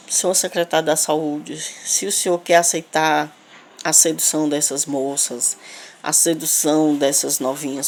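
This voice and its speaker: Low-pitched Northeastern Brazilian woman, noisy recording. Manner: halting, repetitive, emphatic. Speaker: local resident making a video appeal. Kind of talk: unscripted spoken complaint to camera. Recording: clear background noise, ambient sound.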